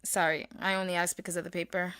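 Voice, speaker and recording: A young woman acting a blunt, slangy Puerto Rican high school girl in a naturalistic audio drama. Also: level tone, quick pace, background noise, lightly acted. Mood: blunt, sympathetic.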